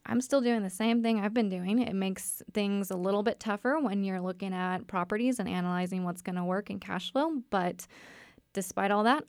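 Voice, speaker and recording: A woman's voice: medium pitch, gravelly, a little mellow, no echo, clean studio sound. A woman guest on a radio interview, a dietitian talking in an upbeat, chatty way. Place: radio studio.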